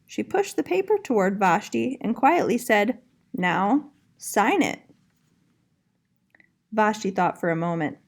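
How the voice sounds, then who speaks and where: A woman's voice: medium pitch, very animated, normal speed, vocal fry, few pauses. A woman, an elementary art teacher, speaking encouragingly to young children in a storybook read-aloud style.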